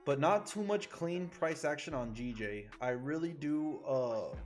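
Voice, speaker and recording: Excitable, foul-mouthed male livestreamer. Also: on the high side, a little slow. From Chile. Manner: excitable, profane, chatty with viewers. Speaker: livestreaming forex trader. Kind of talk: casual livestream commentary. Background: faint music.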